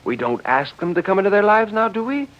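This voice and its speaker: Forties radio drama, guy doing smug genteel gentleman, measured and patronizing, dull old recording. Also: high voice, big pitch swings, pitched above the performer's own voice. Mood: patronising. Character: smooth, condescending gentleman defending exclusion of outsiders. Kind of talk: 1940s radio drama acting.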